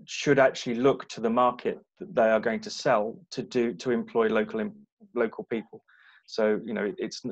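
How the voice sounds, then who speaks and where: A man hosting a panel discussion, who talks hesitantly with many ums and repeated words. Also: lowish voice. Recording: muffled online call audio.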